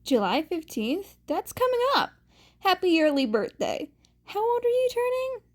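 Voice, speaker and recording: A playful, fussing man, acted by a woman in a high register. He talks in a chirpy sing-song, chatty and caretaking, as a character in an audiobook. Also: big pitch swings, moderate pace. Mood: chirpy, questioning.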